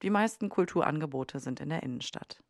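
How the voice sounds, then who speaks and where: A woman's voice: low-pitched, animated, a little fast, no echo, studio quality. A woman reading scripted podcast narration as the host.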